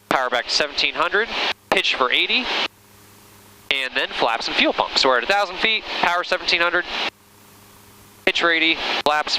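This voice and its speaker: An American man talks quickly over clear engine noise in a small plane cockpit. He is a flight instructor, explaining each procedure step by step as he does it. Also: a little high. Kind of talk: instructional cockpit commentary with radio calls. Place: inside a small airplane in flight. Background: steady engine drone.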